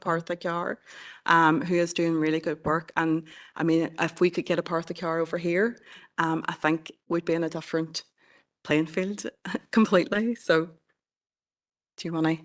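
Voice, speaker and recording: Forthright Northern Irish woman, low flat voice. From Northern Ireland. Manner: earnest, forthright advocacy. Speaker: charity representative. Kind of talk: addressing a council committee.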